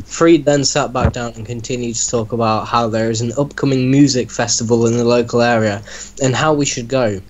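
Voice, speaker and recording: A man's voice: medium pitch, low-quality recording. Man reading a story aloud, bantering. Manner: breaks off to mock the text he reads. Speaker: reader in an online reaction group. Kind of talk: dramatic reading mixed with casual banter.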